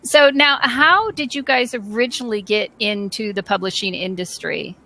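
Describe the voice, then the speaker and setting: A woman hosting a casual interview as a publisher, chatty and quick to laugh. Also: mid-range voice, vocal fry, sharp tone. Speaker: host, publisher. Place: home, phone ringing nearby.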